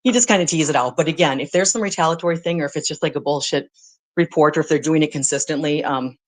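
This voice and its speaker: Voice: medium pitch, quick pace. Conversational American speaker, informally explaining. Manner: rambling, conversational, blunt with mild swearing. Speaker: guest, former social services worker.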